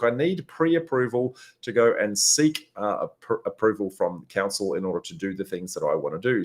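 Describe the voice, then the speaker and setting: Hoarse man hosting a Q&A. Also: mid-range voice. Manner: chatty, many filler "uh"s. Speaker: host. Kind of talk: live Q&A session.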